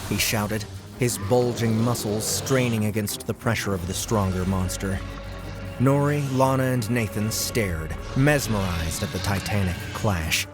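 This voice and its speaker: Male audiobook narrator, lowish pitch, dramatic scripted storytelling over a clear music bed. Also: a little slow. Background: loud music.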